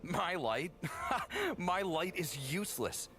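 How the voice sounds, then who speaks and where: A man's voice: high-pitched, big pitch swings, takes it slowly, a little hiss or hum, heavily acted. A man playing a bitter, sardonic, battle-worn warrior in an audio drama, with dramatic delivery. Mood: angry, anguished. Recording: loud music over the voice.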